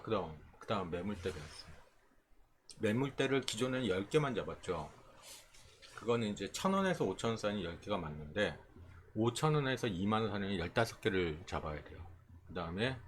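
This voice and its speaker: Low-voiced man teaching, very lively intonation. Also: a little hiss or hum. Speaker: stock trading instructor. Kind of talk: informal screen tutorial, explaining chart settings.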